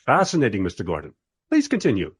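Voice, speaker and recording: A man's voice: fairly low pitch, wildly swooping pitch, fast, breathy edge, full character voice. A man playing a polite, inquisitive interviewer in a radio play, asking courteous questions and narrating with wry asides. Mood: courteous, intrigued.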